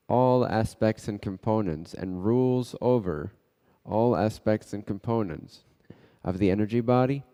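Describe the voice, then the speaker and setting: A man leading a guided meditation as a teacher, speaking in short, unhurried phrases with pauses between them. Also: on the low side, mellow. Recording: long reverberant echo.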